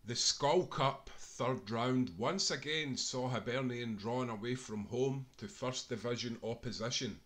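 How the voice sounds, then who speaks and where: Bright, forceful Scottish man reading aloud. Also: medium pitch, a little slow, few pauses. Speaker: author reading own book aloud. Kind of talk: scripted narration, audiobook reading of sports history.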